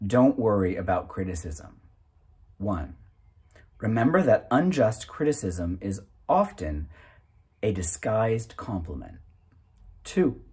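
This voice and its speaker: Husky man reading aloud, lively pitch. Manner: deliberate, item-by-item delivery with pauses. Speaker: reader. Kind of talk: reading a self-help booklet aloud.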